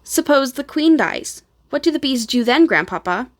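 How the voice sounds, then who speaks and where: A woman's voice: sits high, lively intonation, normal speed, voice pushed up. A woman voicing a curious, eager young child asking questions in a storybook.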